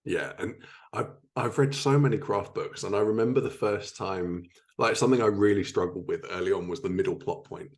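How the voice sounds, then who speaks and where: A man's voice: fairly low pitch, brisk. A man hosting a podcast, chatting casually as he interviews a guest about writing. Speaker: podcast host and fellow writer.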